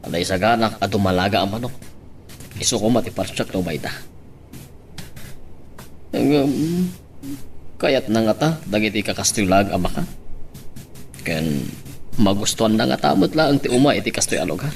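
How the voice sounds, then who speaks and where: A man playing a humble, affectionate elderly village father in a radio drama, with a drawn-out rustic way of talking. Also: fairly low pitch, lively intonation, gravelly, a little hiss or hum. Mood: musing.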